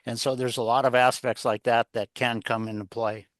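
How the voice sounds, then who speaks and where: A man's voice: medium pitch, little pitch movement, normal speed, no echo, clean studio sound. A man giving conversational advice as an interview guest, rambling, circling back over phrases and posing rhetorical questions. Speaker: interview guest, leadership author and coach.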